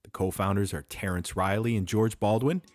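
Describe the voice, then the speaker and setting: A man's voice: lowish voice, moderate pace, over loud music. A man hosting and reading scripted introductions of guests on a podcast. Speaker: host introducing startup founders.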